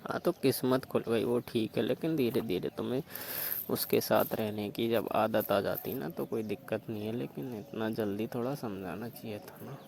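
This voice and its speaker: A man's voice: fairly low pitch, normal speed, vocal fry, darkish tone. A man playing a concerned, chiding confidant who questions a young bride in a staged phone-call drama, on a noisy recording. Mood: concerned, reproachful.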